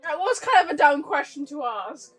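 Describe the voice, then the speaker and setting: A woman's voice: sits high, very animated, normal speed, a bit bright, soft background music. A woman livestreamer giving casual, chatty game commentary, often repeating her phrases.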